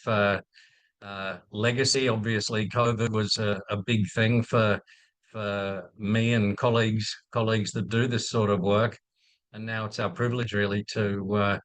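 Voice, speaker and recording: A man's voice: on the low side, fairly bright tone, no room sound. Hesitant Australian man, dry close sound. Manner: hesitant, many fillers and restarts. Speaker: infectious disease physician, program director. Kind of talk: unscripted talk in a webinar. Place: video call.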